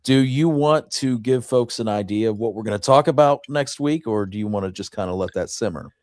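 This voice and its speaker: A man with a lowish voice hosts a relaxed-format chat show. He speaks informally and conversationally, recorded close with no room sound. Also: brisk, dry recording. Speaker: show host. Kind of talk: casual chat.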